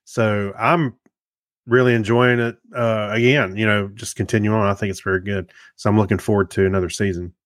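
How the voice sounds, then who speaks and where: A man's voice: on the low side, steady tone, fairly quick, dry recording. Man in casual chat, dry close sound. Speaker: podcast co-host. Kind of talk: casual chat about films and TV shows.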